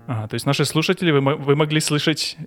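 Fast-talking male podcast host. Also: a little high, a bit bright, faint music behind. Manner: teasing, fast questioning. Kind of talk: casual podcast interview.

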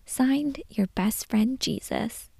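Lady whispering as a gentle jesus writing to a kid, slow soft whisper, close dry sound. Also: on the high side, vocal fry, slightly rough, no room sound, full character voice. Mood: tender. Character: gentle loving male Jesus writing to a child.

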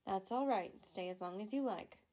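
A woman playing a considerate telephone office supervisor in an old-time radio drama, composed and quietly directive. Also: medium pitch, normal speed, slight background noise, lightly acted.

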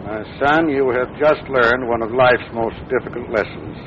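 An American actor playing a kindly older father in a measured, thoughtful way in 1940s radio drama. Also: medium pitch, crowd noise, close to an everyday voice. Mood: gentle, wry. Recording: muffled, noisy 1940s radio recording.

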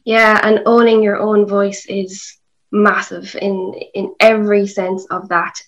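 A woman's voice: medium pitch, little pitch movement, a little slow, highs cut off. Encouraging Irish woman interviewer. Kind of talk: conversational interview questions.

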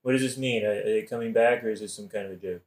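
A man's voice: on the low side, fairly even intonation, racing, natural voice. Man playing a scheming, glib king, echoey. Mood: puzzled, probing. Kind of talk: modern-language satirical audio play.

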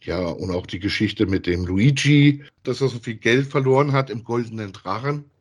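A man's voice: medium pitch, moderate pace, breathy edge. A man co-hosting a casual review podcast, retelling a story in an easygoing, colloquial way. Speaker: podcast co-host. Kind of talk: casual review chat about an audio drama.

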